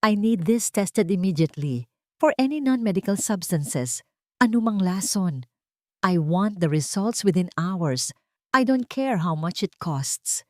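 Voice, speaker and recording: A woman's voice: mid-range voice, lively intonation, a little slow, dry recording, clean studio sound, natural voice. A woman with a Filipino accent voicing an earnest, insistent wealthy businessman in a narrated love story. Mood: commanding. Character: wealthy young male CEO, commanding, troubled son.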